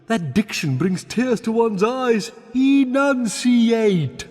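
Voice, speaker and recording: An actor playing a pompous, snobbish aesthete dragon in a video game, with a haughty, theatrical, affected drawl. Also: male voice, extremely high pitch, lively intonation, slow pace, a little mellow, soft background music, exaggerated voice. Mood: weary disdain. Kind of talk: video game cutscene acting. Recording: long cavernous reverb.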